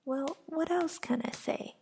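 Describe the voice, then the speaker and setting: A woman voicing a defensive young male wizard in a soft whisper. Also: high voice, measured pace, gravelly, pitched above the performer's own voice. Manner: whispered, pleading then shouting back.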